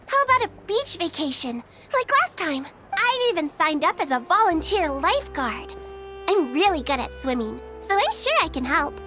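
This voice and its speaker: A woman's voice: extremely high pitch, normal speed. A woman voicing a cheerful, eager, girlish friend in a bubbly way for a video game. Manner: bubbly, expressive. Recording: music behind the voice. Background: game music.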